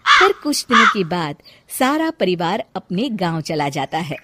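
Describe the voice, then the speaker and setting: Bright-voiced woman narrating a story. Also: on the low side, very animated, measured pace.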